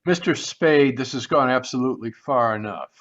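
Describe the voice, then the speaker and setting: An actor playing a stiff, indignant, pompous lawyer in an old-time radio mystery, on muffled audio. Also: male voice, medium pitch, a bit bright, close to an everyday voice. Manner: pompous, deliberate. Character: stiff, indignant male attorney, protective and pompous. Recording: muffled, dull video-call audio.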